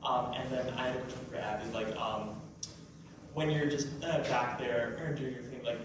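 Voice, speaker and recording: A man in an audience giving casual spoken feedback in a halting way full of fillers. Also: medium pitch, fairly even intonation. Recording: very echoey room, distant, noisy.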